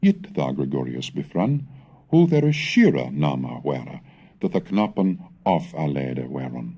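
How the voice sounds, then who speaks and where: Slow, low-voiced man reciting with sweeping intonation. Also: very animated, warm tone, breathy edge, lo-fi sound. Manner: formal, measured, declamatory. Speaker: reader and narrator of a language history recording. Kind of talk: scripted narration and recitation of old texts.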